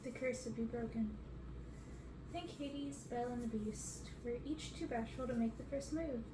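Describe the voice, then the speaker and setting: A woman's voice: a little high, steady tone, normal speed. A young adult woman reading a storybook aloud for ASMR in a bedroom. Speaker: ASMR reader. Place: bedroom, indoors. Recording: roomy, distant, clearly noisy bedroom recording.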